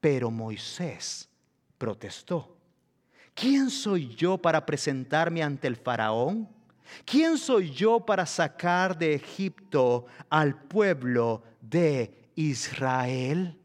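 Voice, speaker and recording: Dynamic male pastor preaching in a reverberant hall. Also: on the high side. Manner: dynamic preaching, swinging from hushed to loud emphatic peaks. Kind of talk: sermon. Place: church hall.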